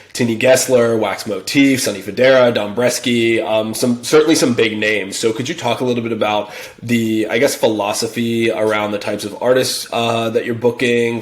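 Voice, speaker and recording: A man hosting an interview, upbeat and enthusiastic, with frequent fillers. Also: medium pitch. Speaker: interview host. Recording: roomy echo.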